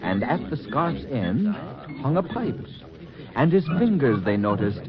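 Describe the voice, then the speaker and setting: A man narrating a poem in a slow, expressive, rhythmic way, on a dull 1940s radio broadcast. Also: mid-range voice, crowd noise. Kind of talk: dramatic poetry narration.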